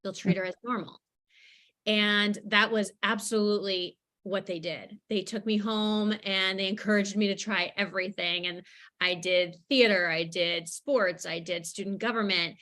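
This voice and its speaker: Bright, forceful woman storyteller. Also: medium pitch, steady tone, normal speed. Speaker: guest speaker, author. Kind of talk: personal storytelling in an interview.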